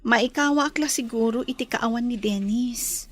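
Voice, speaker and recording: A woman's voice: fairly high pitch, moderate pace, lightly acted. A woman playing a sweet young village woman in an Ilocano comedy radio drama, with an Ilocano Filipino accent. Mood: wistful. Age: young adult. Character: sweet young village woman attached to her childhood friend.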